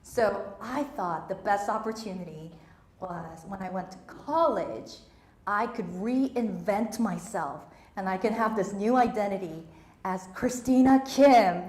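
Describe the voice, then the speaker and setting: A woman's voice: medium pitch, expressive, measured pace, darkish tone, echoey room. A young woman, a native Korean speaker raised in Southeast Asia, giving an emphatic motivational talk on stage and repeating her key phrases. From Korea, raised in Malaysia and Singapore.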